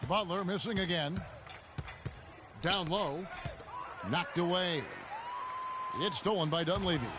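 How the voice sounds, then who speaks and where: A man playing a brisk, excitable TV play-by-play announcer in a basketball video game, making clipped calls. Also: high voice, noisy background. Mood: excited. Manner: clipped, present-tense calls. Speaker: play-by-play commentator.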